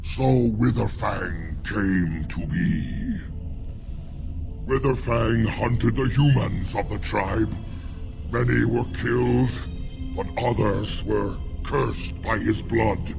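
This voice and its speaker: A man's voice: fairly low pitch, over music, heavily acted. A man voicing a fierce, brooding werewolf in a fantasy game, growling and intense, utterly devoted to his lady. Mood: grim, bitter. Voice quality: gravelly, growling. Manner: slow, weighty, intense delivery. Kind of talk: fantasy video game acting.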